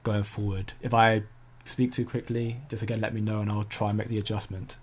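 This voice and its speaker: A man's voice: on the low side, little pitch movement, brisk, a little hiss or hum. A man, hosting a casual crypto livestream and answering viewer comments in a chatty, self-correcting way. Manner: chatty, self-correcting, laughs easily at chat.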